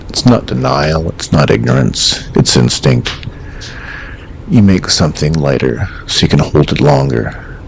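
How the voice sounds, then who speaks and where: A man playing a calm, wry host of an eerie late-night radio call-in show, speaking in a measured, reflective, understated way. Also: rumbling low, gravelly, a little hiss or hum, exaggerated voice.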